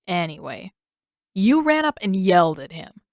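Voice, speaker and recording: A woman's voice: fairly low pitch, very animated, normal speed, no echo, clean studio sound. A woman voicing a sarcastic, smug teenage boy, a teasing older brother in an audiobook story. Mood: dry, scolding. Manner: sardonic, teasing.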